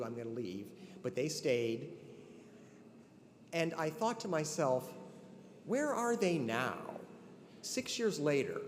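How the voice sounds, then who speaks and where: A man's voice: a little high, slight background noise. Man giving a speech in a hall. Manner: earnest, conversational, with restarts. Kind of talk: ceremonial address. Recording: long hall reverb, faint ambience.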